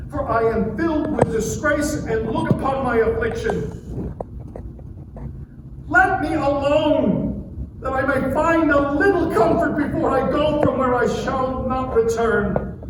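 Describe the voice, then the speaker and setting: A man playing an anguished, defiant man pleading against God, declaiming with drawn-out phrases in a dramatic scripture reading. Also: very high-pitched. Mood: desolate. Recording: distant, very echoey, noisy live room.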